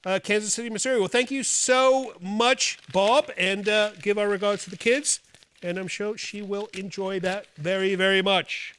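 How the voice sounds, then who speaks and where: A man with a high, forceful voice works as a show host. He reads viewer mail and banters casually, with a playful, theatrical flair. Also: fairly even intonation, moderate pace, slight background noise. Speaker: host of a horror movie show.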